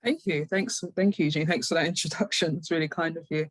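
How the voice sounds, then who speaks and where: A woman's voice: low voice, fairly even intonation, fast, dry recording. A British woman speaking casually as an interview guest, a little hesitant and self-deprecating.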